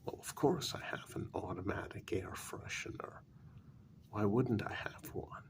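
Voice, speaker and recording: A man playing a haughty, dryly amused vampire in a soft-spoken ASMR role-play, speaking formally and condescendingly in a breathy, gravelly near-whisper. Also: on the low side, close to an everyday voice. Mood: exasperated, condescending. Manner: slow, deliberate, formal, condescending. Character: haughty, dryly amused male vampire, predatory yet civil.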